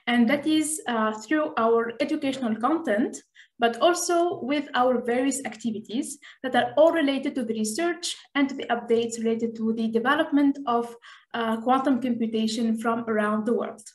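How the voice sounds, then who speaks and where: A woman with a Tunisian accent and a fairly high voice presents on a video call. She explains things in a measured, hesitant way, and the room echoes clearly around her. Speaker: presenter, community representative. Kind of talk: online presentation. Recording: roomy video call sound.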